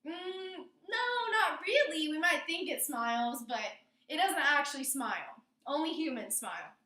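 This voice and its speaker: A woman teacher with a very high voice explains things to a class and often checks in with questions. She is recorded in an echoey room, some way from the microphone. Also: expressive, a little slow, sharp tone. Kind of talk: classroom lesson on figurative language. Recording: roomy echo, distant microphone.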